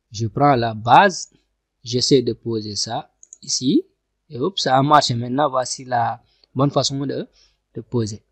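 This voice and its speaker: A man giving a screen-recorded software tutorial, talking through each click step by step and often repeating himself. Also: medium pitch, no echo. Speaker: software instructor. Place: at a computer, voice over screen capture.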